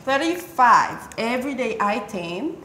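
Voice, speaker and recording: A woman's voice: on the high side, very animated, a little slow, pushed and bright. A young woman co-hosting in casual chat, speaking playfully and expressively in simple short phrases. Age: young adult. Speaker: show co-host. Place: indoor studio at a table. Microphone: lapel mic. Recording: roomy echo, faint noise and clicks.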